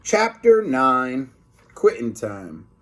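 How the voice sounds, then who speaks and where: A man's voice: mid-range voice, very animated, drawn-out words. A man reading a children's book aloud expressively, like a teacher, with informal asides to his listeners.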